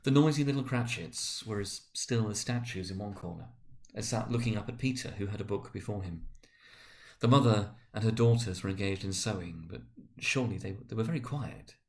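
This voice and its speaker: A man narrating a literary story dramatically, with expressive, sometimes halting delivery. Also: fairly low pitch.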